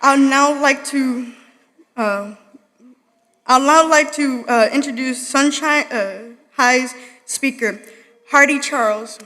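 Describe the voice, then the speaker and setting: A woman's voice: high voice, slow pace, sharp tone. A young woman, a graduating student, reading her graduation speech carefully with occasional stumbles in a large hall. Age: young adult.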